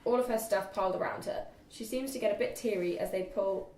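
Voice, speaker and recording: A woman's voice: mid-range voice, a little fast, mellow. A young woman reading screenplay directions aloud as narrator at a group table read. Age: young adult. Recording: distant echoey room, faint noise.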